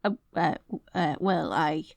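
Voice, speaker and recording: A woman's voice: low voice, takes it slowly, gravelly, no room sound, heavily acted. A woman playing a haughty, dorky evil queen in a scripted ASMR roleplay, swinging between grandiose proclamations and flustered stammering. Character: dorky, theatrical evil queen, haughty yet secretly smitten.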